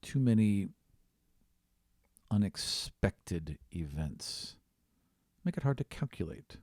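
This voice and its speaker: Man playing a very low, deliberate, brooding student. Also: sing-song, darkish tone, no echo, studio quality, exaggerated voice. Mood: guarded, worried. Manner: clipped, deliberate, formal. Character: brooding, controlling, calculating male magic-school student.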